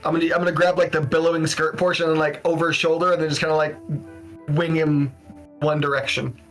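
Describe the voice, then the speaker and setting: Bright-voiced folksy man playing a game. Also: on the high side, little pitch movement, quick pace, rough recording. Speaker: player. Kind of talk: casual tabletop roleplay. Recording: background music.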